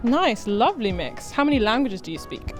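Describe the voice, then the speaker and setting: A woman with a fairly high voice interviews a student, putting quick questions and answering with short, friendly replies. Faint music plays underneath. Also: big pitch swings. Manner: brief, friendly replies, often "nice".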